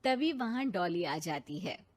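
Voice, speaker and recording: Slow-paced woman story narrator. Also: lowish voice, big pitch swings. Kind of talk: scripted Hindi story narration.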